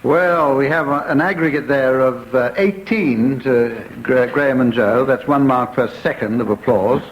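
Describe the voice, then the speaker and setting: A man's voice: mid-range voice, measured pace, highs cut off. British man chairing, noisy old recording. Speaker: panel show chairman. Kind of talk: comedy radio panel show hosting.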